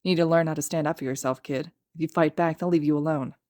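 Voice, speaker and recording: A woman's voice: sits low, fairly even intonation, fast, darkish tone, no echo, clean studio sound, close to an everyday voice. A woman voicing a sardonic, menacing young male villain with a blunt, sneering drawl in a story reading. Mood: gruff, casual.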